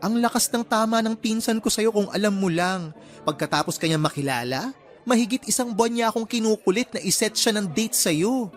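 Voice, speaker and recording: A man playing a chatty, teasing young friend in a radio drama, expressive and gossipy. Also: high-pitched, a little fast. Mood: teasing, coaxing. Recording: music behind the voice.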